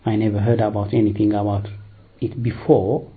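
A priest, telling his vocation story in a hesitant, unhurried way with many fillers. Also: fairly low pitch, level tone, narrow, tinny audio. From Eritrea, raised in Ethiopia. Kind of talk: personal vocation testimony.

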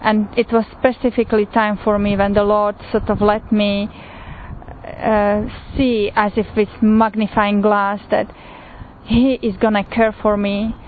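A woman from Slovakia with a Slovak accent, telling her pilgrimage story in an unhurried way with frequent pauses and fillers. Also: medium pitch, little pitch movement. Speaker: pilgrim sharing testimony. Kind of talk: personal testimony. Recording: tinny phone-like recording with faint noise.

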